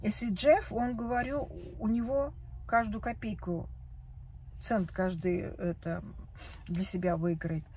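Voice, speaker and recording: A woman's voice: mid-range voice, steady tone, a little slow, dark tone, a little hiss or hum. Upset woman venting in a parked car. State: tearful after crying. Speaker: vlogger. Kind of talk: emotional confessional vlog. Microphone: phone camera at arm's length.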